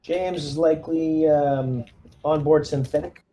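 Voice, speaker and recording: A man's voice: a little high, fairly even intonation, unhurried, dark tone, a little hiss or hum. A man playing a squad commander in a tabletop game, giving orders in a casual, hesitant, polite manner.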